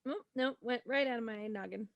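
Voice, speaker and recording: A woman's voice: a little high, a little fast, no echo, muffled recording. A woman co-hosting a podcast in casual conversation. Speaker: podcast co-host. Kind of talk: casual chat.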